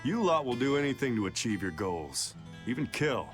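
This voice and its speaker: An actor playing a brash, hot-blooded roguish fighter in a video game, cocky and slangy, with music underneath. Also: male voice, medium pitch, sing-song, normal speed, natural voice. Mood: firm, defiant. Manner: cocky, rough slangy talk. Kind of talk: English video game dub acting.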